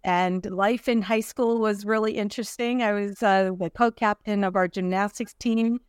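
A middle-aged woman speaks as a guest on a podcast, chatty and relaxed as she tells stories about her life. Her voice is smooth and clear, and it is recorded close up in a dry room with no echo. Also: medium pitch, normal speed. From New Jersey. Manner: chatty, storytelling, laughs easily. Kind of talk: casual interview.

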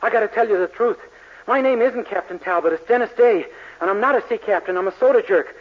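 A muffled vintage radio recording of a naive young soda jerk. His voice is high and he talks quickly, with an earnest, folksy manner suited to 1940s sitcom. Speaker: sitcom lead. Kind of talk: 1940s radio comedy acting.

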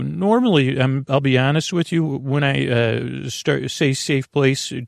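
A man hosting a bedtime podcast, talking in a lulling, creaky way and wandering off on meandering tangents. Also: mid-range voice, dry recording, studio quality. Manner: meandering, tangent-prone, self-interrupting. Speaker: podcast host. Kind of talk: rambling bedtime podcast talk.

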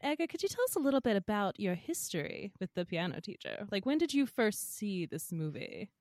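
A woman's voice: mid-range voice, very animated, fairly quick, a little mellow, no echo, studio quality. A woman hosting a podcast, chatting in a bubbly way and laughing often. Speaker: podcast host. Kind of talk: casual chat.

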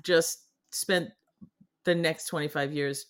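Middle-aged woman with a trace of Boston accent, animated and quick to laugh; interview guest. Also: sits low. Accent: Boston, mostly lost. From New England. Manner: animated, laughs often, with pauses and restarts. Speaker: guest artist. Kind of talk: casual interview chat. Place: home studio in front of her paintings.

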